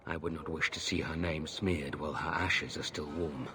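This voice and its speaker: A man's voice: extremely low pitch, steady tone, moderate pace, a crowd in the background. A man playing a stern, formal knight who is a grieving father, in a game cutscene. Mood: grieving, remorseful. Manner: formal, solemn. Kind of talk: dramatic game cutscene acting.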